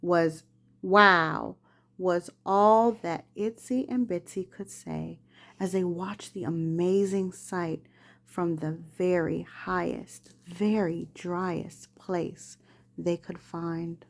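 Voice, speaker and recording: A woman's voice: on the low side, steady tone, slow pace, creaky. A woman reading a children's storybook aloud, patiently prompting young readers along the way. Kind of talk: read-aloud storybook narration with gentle corrections.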